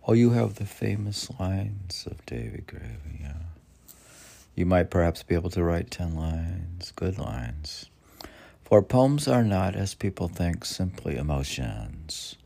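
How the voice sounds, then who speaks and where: Very deep, creaky older man. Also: lively intonation, mellow. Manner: halting, musing, talks to himself in question and answer. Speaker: poetry reader and podcast host. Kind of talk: informal poetry reading with rambling asides.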